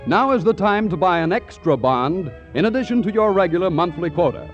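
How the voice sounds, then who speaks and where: A man's voice: high voice, lively intonation, fairly quick, faint music behind. A man with an American accent making formal, emphatic announcements as a 1940s radio announcer. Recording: dull 1940s radio broadcast.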